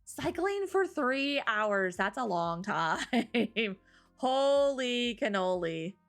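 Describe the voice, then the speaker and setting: Woman stream host, higher voice, very lively pitch, giggly casual chat, still waking up, faint music behind. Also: extremely slow. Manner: giggly, bubbly, laughs often.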